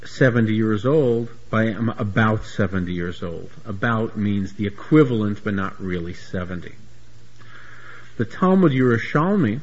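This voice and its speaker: Husky guy teaching talmud, style words, keeps restarting sentences, kinda muffled recording. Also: mid-range voice. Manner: searching restarts, repeats words while explaining. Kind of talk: Talmud lecture.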